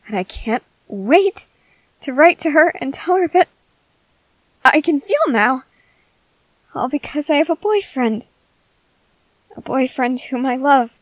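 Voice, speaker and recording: Romance audio: young woman playing a sweet, stammering girl, high and dry. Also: animated, slow pace, pauses often, dry recording, low-quality recording. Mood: calm, tender. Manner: stammering, polite and formal phrasing. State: crying with happiness. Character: shy earnest young woman, sweet and formal. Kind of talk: romance roleplay audio addressed to the listener.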